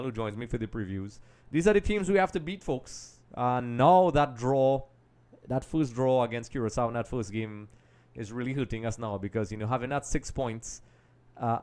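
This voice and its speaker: A man hosting a live football watch-along in a middle-pitched voice. He talks in a chatty, analytical way, pausing on "um" and calling out to "folks" as he reads comments. He breaks down tactics and stats between remarks to viewers. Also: a little mellow. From Trinidad and Tobago. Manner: chatty and analytical, often says "um" and addresses "folks". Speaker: livestream host.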